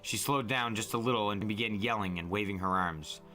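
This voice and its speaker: Male dramatic narrator: low, sharp-edged, intense, deliberate audiobook delivery over music. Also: low-quality recording. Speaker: storyteller, podcast narrator.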